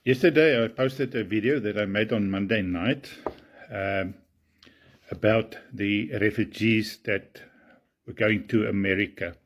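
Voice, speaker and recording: A man from South Africa with an Afrikaans accent, speaking English as a second language in a halting, indignant monologue full of fillers. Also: lowish voice. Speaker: video commentator. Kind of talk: casual monologue to camera.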